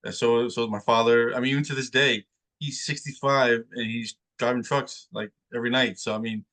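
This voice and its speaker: This man from New Jersey answers interview questions in a casual, halting way, often restarting and repeating words. His voice is bright and sits in an ordinary middle pitch. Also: steady tone. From New Jersey, United States. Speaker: guest.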